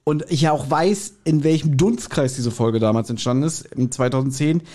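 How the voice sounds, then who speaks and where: A man's voice: mid-range voice, normal speed, a little mellow. A man chatting casually as a podcast co-host, explaining his views in colloquial language. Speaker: podcast co-host reviewing audio dramas.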